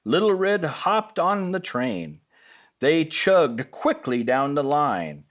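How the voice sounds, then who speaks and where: A man tells a children's bedtime story in an expressive reading style. His voice sits a little high, swings widely in pitch and moves at a slow, measured pace.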